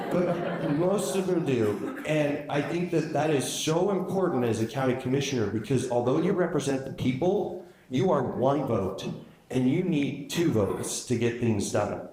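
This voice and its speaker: A male candidate answering at a local debate. His voice sits at a middle pitch and carries an easy, chatty manner with frequent fillers. The room adds noticeable echo. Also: darkish tone. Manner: conversational with fillers, quick when rushed. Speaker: county commission candidate.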